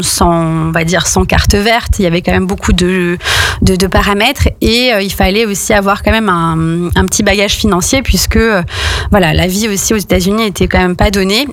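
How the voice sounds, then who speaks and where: A young woman from France, speaking, tells her career story with many fillers and restarts. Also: mid-range voice. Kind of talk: casual spoken storytelling.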